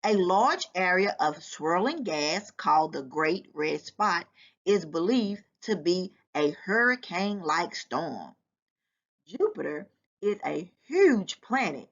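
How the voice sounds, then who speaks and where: A woman reads a children's fact book aloud with very lively, swooping intonation. She takes her time over each sentence in a deliberate, teacherly way. Her voice sits a little low, and she sounds like a volunteer reader addressing young listeners. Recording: dull, lo-fi sound.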